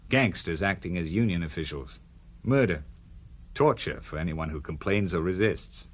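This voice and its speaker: A resolute American senator in a radio drama. The voice is low, and he speaks in a cool, firm, uncompromising way with a hard edge of principle. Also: animated, moderate pace.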